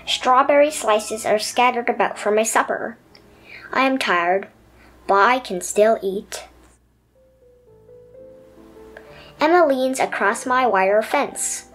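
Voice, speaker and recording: A little pet turtle from a picture book, played by a woman reader, tells its own adventure in a high voice. It sounds earnest, dreamy and easily amazed, with expressive storybook pacing over soft music. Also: a little slow. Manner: expressive storybook first-person telling.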